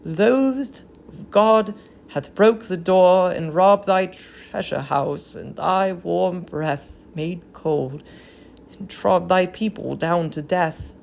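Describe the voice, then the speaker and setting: A woman's voice: on the low side, slow, vocal fry, a little mellow, slight background noise. A woman playing a grieving, dignified old queen in a Greek tragedy reading, with solemn, declamatory verse delivery. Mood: solemn grief. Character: grieving old queen mourning her dead grandchild, bitter, dignified.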